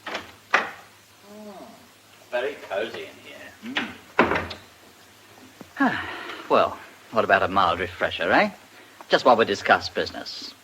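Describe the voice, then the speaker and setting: An actor playing a jovially menacing blackmailer in a radio drama, with a mocking, falsely chummy manner. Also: male voice, fairly low pitch, huge pitch swings, slow, pauses often, very noisy, heavily acted.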